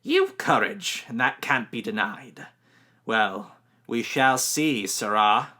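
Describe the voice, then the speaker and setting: A stern, ancient woman, as a male narrator puts her on, speaks with slow, commanding menace in an audiobook reading. Her words come drawn out, the tone bright and tense. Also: mid-range voice.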